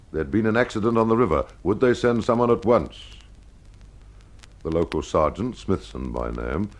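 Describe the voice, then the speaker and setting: Deep-voiced man narrating a radio mystery. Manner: measured, dramatic storyteller addressing the listener. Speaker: host and narrator of a mystery programme. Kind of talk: scripted old-time radio detective storytelling.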